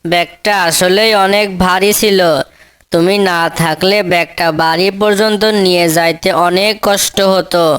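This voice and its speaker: Someone voicing a clear-voiced, slow elderly crow. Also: mid-range voice, fairly even intonation, fairly bright tone, close to an everyday voice. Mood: grateful. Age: older. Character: kindly elderly crow, weary from errands.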